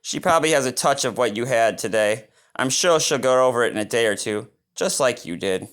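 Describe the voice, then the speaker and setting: A caring storybook papa bear read by a man, steady and reassuring. The voice sits in a plain middle register. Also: medium pitch, fairly quick, natural voice.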